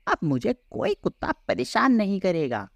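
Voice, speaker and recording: Lady doing a plaintive skinny cartoon cow for a kids story, very animated pitch, dry sound. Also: moderate pace, creaky, no room sound, full character voice. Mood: grateful. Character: skinny, harassed village cow, plaintive and pleading. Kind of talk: children's cartoon story acting.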